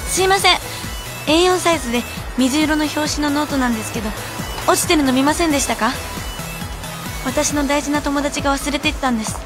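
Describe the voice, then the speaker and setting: A woman's voice: high-pitched, fairly quick, music in the background, natural voice. An actress playing a polite, concerned schoolgirl in a radio drama. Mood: pleading politely.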